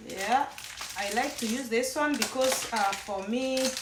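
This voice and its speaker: A woman's voice: on the high side, measured pace, noisy background. A woman with a Kenyan accent, chatting casually and rambling a little while she cooks in her home kitchen. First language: Kenyan mother tongue. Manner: chatty, rambling, with frequent restarts. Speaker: cooking vlogger. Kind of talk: casual cooking demonstration. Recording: echoey room, mic at a distance.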